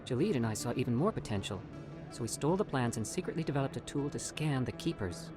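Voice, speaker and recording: An actor voicing an eager, evasive alien scientist in a video game, with a persuasive, self-justifying manner. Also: male voice, medium pitch, lively intonation, fast, over music, lightly acted. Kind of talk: video game character acting.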